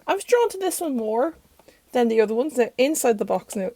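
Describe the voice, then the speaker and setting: A young Irish woman talks through a review in a loose, rambling way, her voice croaky as she gets over being sick. Her voice sits a little high for a woman, and the sound is close and dry with no room echo. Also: sing-song, a little fast, warm tone. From Ireland. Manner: chatty and rambling, self-interrupting. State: croaky, recovering from illness. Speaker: tarot channel host. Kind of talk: casual deck review. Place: bedroom at home.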